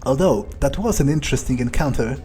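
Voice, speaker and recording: A man's voice: medium pitch, expressive, a little fast. A man roleplaying through a video game in character, thinking aloud in a sardonic way with plenty of swearing. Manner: sardonic, muttering, heavy swearing. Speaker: gameplay streamer roleplaying in character. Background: game music.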